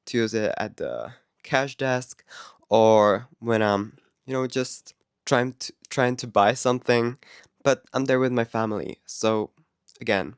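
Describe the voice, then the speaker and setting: A man's voice: mid-range voice, a little slow, clean tone, dry recording, studio quality. Young Czech man chatting to camera. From Czech Republic. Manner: chatty and candid, with laughs and self-corrections. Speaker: vlogger. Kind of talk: casual vlog talk to camera.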